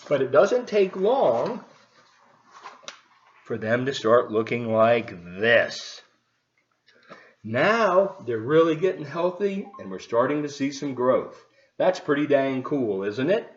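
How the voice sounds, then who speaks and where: A man talking to children as a volunteer storybook reader, explaining things in an animated, unhurried, folksy way. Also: mid-range voice. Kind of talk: talking to children and reading a picture book aloud. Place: at home, partly in a living room. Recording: roomy, slightly muffled home video.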